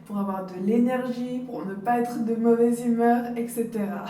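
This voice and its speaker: A woman in her late twenties talks like a beauty vlogger, chatty and personal, speaking straight to her viewers. Her voice is soft-edged and mellow. The recording is roomy and picked up at some distance from the mic, with faint noise behind her. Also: fairly high pitch, steady tone, moderate pace. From Dominican Republic. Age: late 20s. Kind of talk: casual advice to camera. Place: indoors, hotel.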